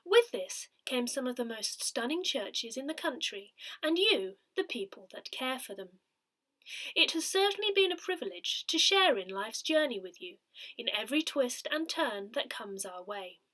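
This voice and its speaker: A woman reading a church newsletter aloud in a measured, carefully phrased way. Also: high-pitched, sing-song, bright, forceful tone. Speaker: volunteer reader of an audio newsletter.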